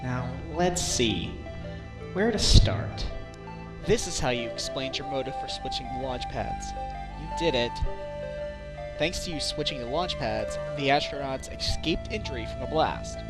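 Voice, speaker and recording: A determined male lawyer played by an amateur actor with a mid-pitched, animated voice. He presses his questions firmly and slips in wry asides, over clear game music in a fandub recording. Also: measured pace, natural voice. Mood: methodical, wry. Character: wry, determined male defense attorney, younger adult. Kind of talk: video game fandub acting.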